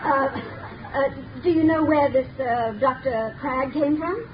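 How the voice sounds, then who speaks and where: A woman's voice: sits high, measured pace, dull audio. An actress playing a shaken young female companion who speaks hesitantly and stammers, in 1930s radio acting. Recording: scratchy 1930s radio recording, from far off.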